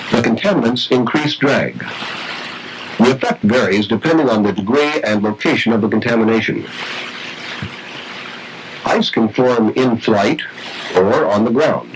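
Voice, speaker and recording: A man reading scripted instructional narration for a training film in a formal, measured, precise way. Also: fairly low pitch. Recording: noisy vintage training film soundtrack.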